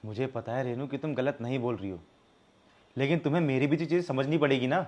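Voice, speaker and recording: Young Indian husband, played by a male actor, medium pitch, fault-finding and earnest, domestic drama scene. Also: quick pace, a little mellow, slight background noise, natural voice. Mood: earnest, reproachful. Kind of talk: scripted domestic drama acting in Hindi with some English.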